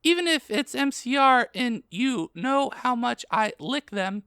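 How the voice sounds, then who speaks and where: A woman's voice: a little high, lively intonation, a little slow, a bit bright, clean tone, no room sound, studio quality. A woman voicing an angry, dramatic teenage goth girl in a comic story reading, indignant and exaggerated.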